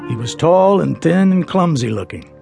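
An actor narrating a western tale as a folksy storyteller, with an American accent and a gravelly voice. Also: male voice, fairly high pitch, expressive, moderate pace. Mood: knowing, measured. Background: music.

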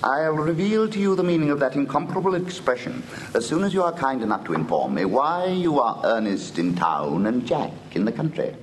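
An arch, swooping upper-class English idler. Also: male voice, mid-range voice, big pitch swings, a little fast, hardly any pauses. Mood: amused probing. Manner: arch, precise, theatrical diction. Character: flippant, teasing young gentleman of leisure, witty idler. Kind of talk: classic stage comedy audio drama, old-fashioned acting. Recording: old recording with faint hiss.

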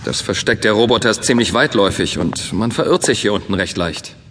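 A man playing a calm, thoughtful leader in a science fiction audio drama, speaking in a measured, reassuring way. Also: on the low side. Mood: courteous, reassuring. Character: calm, thoughtful male space-faring leader. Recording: long reverberant hall sound.

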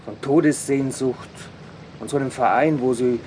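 Offhand male witness, mid-pitched played by a man. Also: steady tone, normal speed, darkish tone, a little hiss or hum, lightly acted. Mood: dismissive. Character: chatty, offhand male witness giving police a statement. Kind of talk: German audio drama acting.

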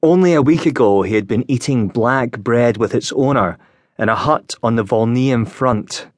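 A man reads literary fiction as an audiobook narrator, in measured, descriptive storytelling. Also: medium pitch, dry recording. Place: dry recording studio.

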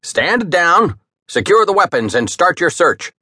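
A swaggering storybook pirate captain, raised and commanding, who threatens with easy confidence, as a male narrator plays him. His voice carries a husky edge, and it cuts through as he gives orders. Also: high voice, big pitch swings, unhurried, bright, forceful tone, no room sound, studio quality, pitched above the performer's own voice, exaggerated voice. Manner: commanding, menacing.

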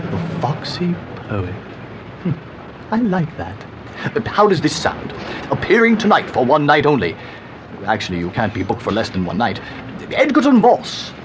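An actor playing a pompous, self-pitying older poet in a comic radio play, with a florid, grandiloquent delivery. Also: male voice, fairly high pitch. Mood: delighted, preening. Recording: noisy original workshop recording.

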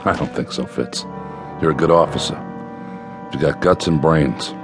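An actor playing a steady, perceptive army captain in a radio war drama, plain-spoken and probing. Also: male voice, extremely low pitch, moderate pace, over music. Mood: concerned, probing.

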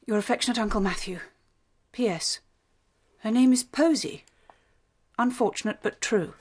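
A woman playing a gentle, affectionate young English guardian in an audio drama, genteel and expressive. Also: fairly high pitch, normal speed, close to an everyday voice. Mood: reading aloud. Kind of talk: full-cast radio dramatisation.

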